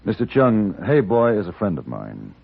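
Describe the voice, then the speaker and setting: On a muffled vintage radio recording, a steely, courteous Western gun-for-hire. His husky voice moves freely up and down while his wording stays dry, formal and probing, in classic 1950s radio drama style. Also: fairly low pitch, wildly swooping pitch, moderate pace, heavily acted. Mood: polite, probing.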